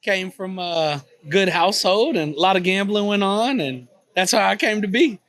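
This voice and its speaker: Young Texan man, easygoing interview guest, slightly high voice, loose filler-heavy chat. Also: normal speed, fairly bright tone, no room sound. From Texas, USA. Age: 20s. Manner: easygoing, leans on "you know" fillers. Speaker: guest, poker vlogger. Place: on a cruise ship.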